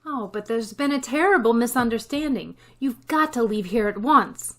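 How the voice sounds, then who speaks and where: A wry, wisecracking schoolteacher played by a woman in 1950s radio comedy style. Her voice sits fairly high and moves around a lot, delivering dry, sardonic lines. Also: expressive, moderate pace, fairly bright tone. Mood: wry, disapproving.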